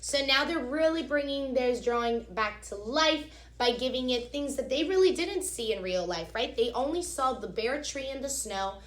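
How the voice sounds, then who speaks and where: A woman with a fairly high voice reads a children's story in a very echoey room. She sounds like a teacher, expressive and instructive, stepping out of the text to explain it directly to her young listeners. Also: moderate pace, few pauses.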